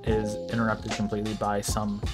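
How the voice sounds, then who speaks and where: A man's voice: fairly low pitch, monotone, a little fast. A young American man narrating an opinionated commentary video, emphatic and at times exasperated. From United States. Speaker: video essay creator. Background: background music.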